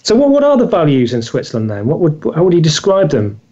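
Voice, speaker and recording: Mellow-voiced British male podcast host. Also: fairly high pitch, wildly swooping pitch, fast, muffled recording. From United Kingdom. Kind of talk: casual interview conversation.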